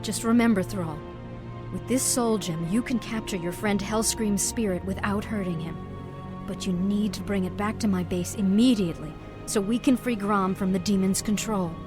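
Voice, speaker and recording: An actress playing a proud young human sorceress and leader in a fantasy game, wary, brisk and assertive. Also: female voice, medium pitch, lightly acted. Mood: wary, businesslike. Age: young adult. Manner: assertive, skeptical. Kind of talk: fantasy game dialogue acting. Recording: game music behind the voice.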